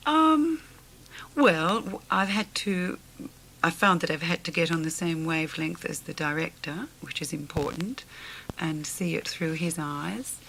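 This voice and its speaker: A female opera singer, a guest on a radio interview, speaking in a thoughtful, measured way. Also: on the low side, expressive, creaky, fairly bright tone, rough recording. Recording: 1980s radio broadcast with faint hiss.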